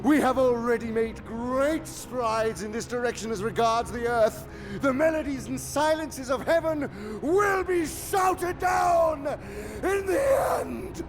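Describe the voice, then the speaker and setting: A man playing an imperious senior demon in a theatrical radio drama, venomous and contemptuous, with a gravelly voice and grandiose, sneering delivery. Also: very high-pitched, slow, few pauses, raised voice, music in the background. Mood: ranting, exultant. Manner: grandiose, sneering, savoring words, swinging from hiss to roar.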